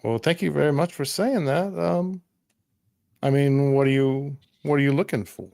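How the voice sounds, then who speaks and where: A man's voice: medium pitch, fairly even intonation, moderate pace, gravelly, darkish tone, dry recording, clean studio sound, pitched above the performer's own voice, close to an everyday voice. A man voicing a plain-spoken, hesitant woman cleaner who sings, in a tabletop roleplaying game. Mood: wary, flattered.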